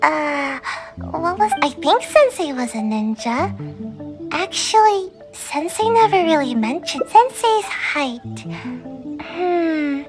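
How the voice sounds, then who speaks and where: Tiny, high-pitched sleepy ninja girl. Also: big pitch swings, music in the background, full character voice. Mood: wistful. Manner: drowsy, childlike, unhurried. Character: small sleepy young female ninja trainee, lazy and earnest. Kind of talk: video game character dialogue.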